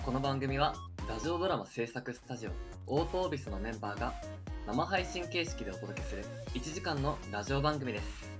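Playful young man, animated, over clear music. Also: medium pitch, a little fast. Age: young adult. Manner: playful, teasing, mock-innocent. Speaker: radio show co-host. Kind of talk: live radio banter with scripted announcements.

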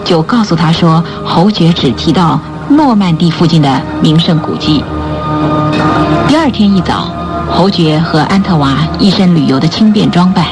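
A narrator delivers scripted radio drama storytelling. The voice sits at a middle pitch. Music plays clearly underneath. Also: lively intonation, moderate pace.

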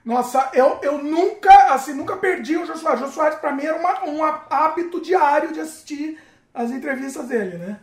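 A woman's voice: high voice, lively intonation, normal speed. A woman with a Brazilian accent hosting a casual chat, inquisitive and prompting the others. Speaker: talk show host. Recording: roomy echo.

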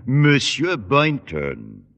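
An actor playing a courteous, inquisitive Frenchman with a French accent and halting English in an old-time radio comedy. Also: medium pitch, wildly swooping pitch, a bit bright, full character voice. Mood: eager, polite. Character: courteous, inquisitive Frenchman, formal and easily delighted. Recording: dull, reverberant old radio recording.

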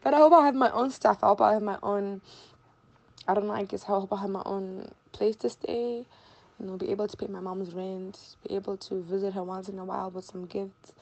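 Woman, early twenties, Zimbabwean accent, medium pitch, chatty, informal, repetitive phrasing, interview guest. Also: fairly even intonation, brisk, vocal fry, a little mellow, dull audio. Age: early 20s. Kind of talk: casual conversational interview answers.

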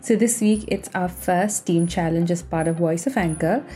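A woman from India hosts her podcast in a chatty, upbeat manner, with a mellow, warm voice. Soft music plays faintly behind her. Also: on the low side, normal speed. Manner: cheerful, chatty, with fillers. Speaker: podcast host. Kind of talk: casual podcast talk.

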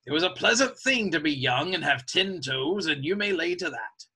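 A crafty old one-legged cook, as a man reading a storybook puts him on. He drawls slowly and coaxingly in a bright, husky voice. He sounds a little back from the mic. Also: fairly high pitch, animated, full character voice.

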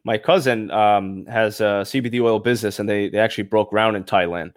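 A man's voice: lowish voice, steady tone, brisk, no room sound, studio quality. A man from Brooklyn with a Brooklyn accent, hosting a casual podcast chat in a laid-back, slangy way. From Brooklyn, USA.

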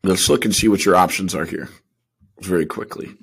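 Man, deep voice, podcast co-host, casual slangy sports analysis, questioning, thinks aloud. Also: fairly quick, creaky, breathy edge.

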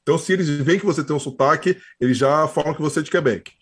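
A Brazilian man hosting a podcast in casual chat, curious and quick with follow-up questions. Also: fairly high pitch, breathy edge. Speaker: podcast host.

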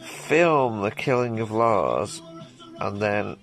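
Man, slow delivery, very lively intonation, crude jokey banter, music playing behind. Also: fairly low pitch. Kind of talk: casual banter.

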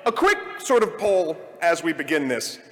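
A man with a fairly high voice hosts a casual live panel. His pitch moves around in a lively way, and the big room adds a long reverb to his words. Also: moderate pace. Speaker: panel moderator and podcast host. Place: large convention panel room. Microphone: stage microphone and PA.

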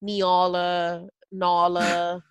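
A woman's voice: lowish voice, completely flat delivery, drawn-out words, smooth, no echo. Chatty woman podcast host, dry sound. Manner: chatty, laughs often, trails off. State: hay fever, coughing. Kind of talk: casual chat.

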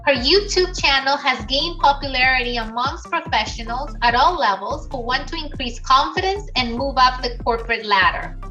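A woman's voice: sits high, expressive, normal speed, few pauses, sharp tone, far from the mic, soft background music, poor audio. A middle-aged woman hosting an online session, reading a formal prepared welcome and then asking her guest questions. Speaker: host. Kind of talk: scripted introduction and interview questions.

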